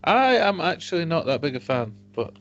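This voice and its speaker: A man co-hosting a casual whisky podcast, dry and a bit hesitant, often trailing off quietly. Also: on the high side, big pitch swings, moderate pace. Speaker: podcast co-host. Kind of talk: casual tasting chat. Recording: dull lo-fi home podcast audio.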